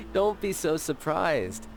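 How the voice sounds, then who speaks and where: Sly, high-voiced young diplomat. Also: male voice, a little hiss or hum, exaggerated voice. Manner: smooth, teasing, theatrical. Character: suave young male diplomat, cheerful, sly, secretive. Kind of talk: video game voice acting. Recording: game audio with music behind.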